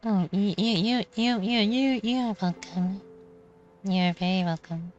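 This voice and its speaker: A woman's voice: mid-range voice, slow pace, darkish tone, dull audio, faint music behind. A woman game streamer giving casual playthrough commentary in a hesitant way, often repeating words as she stammers.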